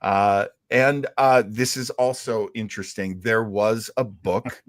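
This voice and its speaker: A man's voice: on the low side, fairly even intonation, takes it slowly, dry recording, clean studio sound. A man hosting a casual live talk show, speaking in an enthusiastic, rambling way with hesitant phrasing. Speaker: host of a livestream about classic radio and comics. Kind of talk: casual live chat commentary.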